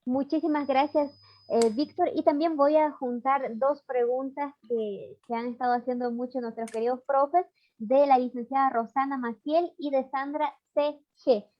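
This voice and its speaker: A woman hosting a live online session, warmly addressing the audience and reading out their questions. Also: high-pitched, fairly even intonation, normal speed, highs cut off. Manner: warm, addresses audience as dear friends. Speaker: host.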